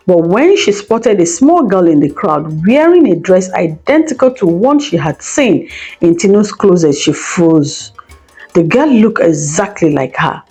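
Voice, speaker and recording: A woman's voice: fairly low pitch, a little mellow, slightly rough. A woman narrating a scripted folktale as a storyteller, with music playing behind her. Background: background music.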